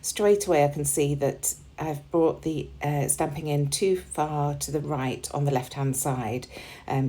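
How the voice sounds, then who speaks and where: A woman with a slightly hoarse voice, chatting in a relaxed way as she narrates a scrapbooking process video, with plenty of ums. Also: a bass voice. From United Kingdom. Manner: relaxed and chatty, with frequent ums and small laughs. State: slightly hoarse, losing her voice. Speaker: crafting channel host.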